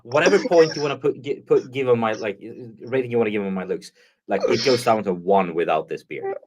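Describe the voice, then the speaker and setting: A man's voice: mid-range voice, a little fast, darkish tone, lo-fi sound, slight background noise. A Swedish man, a native Swedish speaker, chatting casually as a guest on a live stream, with frequent stops and restarts. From Sweden.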